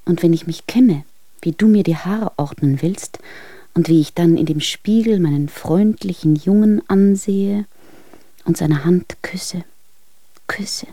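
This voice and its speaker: A lovesick young wife in a radio play, speaks in a mellow, intimate, breathy voice. She clings and pleads as she reads out her love letters, recorded very dry and close. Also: fairly low pitch, a little slow, no room sound. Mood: yearning, tender.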